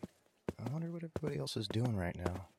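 A man's voice: mid-range voice, sing-song, fairly quick, creaky, heavy background noise. A man playing an easygoing, polite survivor of a zombie apocalypse in an audio drama, narrating and speaking as the lead. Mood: friendly, casual.

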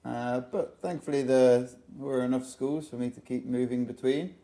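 A young English man from England, a podcast guest telling relaxed stories about his life with lots of fillers. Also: medium pitch, moderate pace. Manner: relaxed storytelling with frequent fillers. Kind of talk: casual interview.

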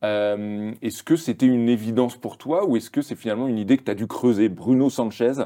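A man hosting a podcast interview, asking measured questions and backing the guest up with short agreements. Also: mid-range voice, warm tone.

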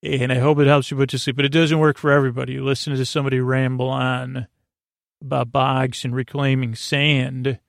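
A man hosting a bedtime podcast with a creaky voice and a lulling, goofy, meandering and halting delivery. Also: mid-range voice, level tone, dry recording, clean studio sound. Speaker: podcast host. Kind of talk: rambling bedtime monologue.

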